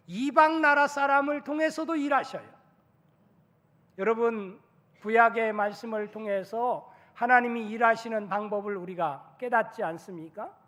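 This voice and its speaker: Male pastor, hoarse with a cold, high voice, emphatic sermon delivery, long church reverb. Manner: builds from quiet apology to loud, emphatic preaching. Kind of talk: Sunday sermon. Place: church sanctuary.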